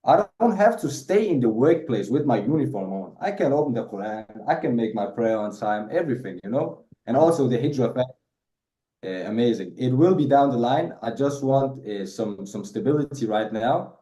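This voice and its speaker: A man's voice: mid-range voice, expressive, moderate pace, dark tone, roomy sound. A man whose first language is Danish, a guest in a casual interview, talking hesitantly with many repeated words and restarts. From Denmark.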